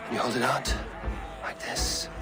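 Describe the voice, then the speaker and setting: An actor playing a proud, commanding Viking chieftain who calls out loudly and coaxes his child. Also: normal speed, lightly acted. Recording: loud music and crowd behind.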